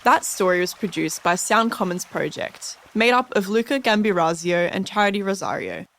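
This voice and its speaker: A woman's voice: medium pitch, lively intonation, moderate pace, a bit bright. A woman with an Australian accent reading a scripted outro and credits as a radio show host. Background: music bed.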